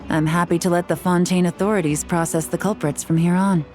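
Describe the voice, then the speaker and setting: An actress playing an unruffled, pragmatic strategist in a video game, matter-of-fact and detached. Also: female voice, on the low side, steady tone, a little fast, a little mellow, soft background music, natural voice. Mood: cool, satisfied. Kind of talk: video game character acting.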